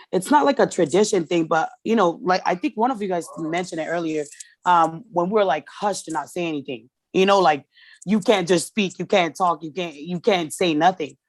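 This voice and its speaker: A woman in her thirties from Tonga, with Tongan as her first language, talks openly as a guest on a podcast. Her speech is halting and full of restarts but frank. The sound is dry and close. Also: lowish voice, no echo. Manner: candid, halting, restarts often. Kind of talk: casual conversation.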